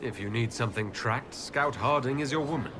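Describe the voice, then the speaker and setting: An actor playing a composed, authoritative male elven leader in a video game, speaking in brief, brisk questions and decisions. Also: mid-range voice, lightly acted. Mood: composed, inquiring. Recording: game audio over noisy outdoor ambience.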